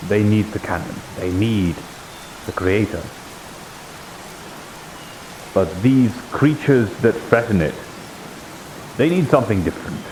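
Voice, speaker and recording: A low-voiced, devout holy warrior, played by a man in a live fantasy roleplay game. He speaks plainly and earnestly, with solemn, halting phrases and a loyal temper. A faint but steady hiss sits behind the voice. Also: close to an everyday voice. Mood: fervent. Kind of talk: improvised in-character fantasy roleplay. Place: live online VR roleplay stream.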